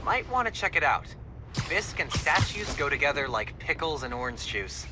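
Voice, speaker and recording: An actor playing a chatty, goofy teenage sidekick in a video game, rambling playfully through odd tangents. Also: male voice, a little high, big pitch swings, normal speed, music in the background. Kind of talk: video game cutscene acting.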